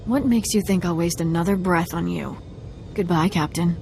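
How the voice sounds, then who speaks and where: An actress playing a proud, sharp-tongued young woman among smugglers in a video game. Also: on the low side, moderate pace, vocal fry, faint music behind. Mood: scornful.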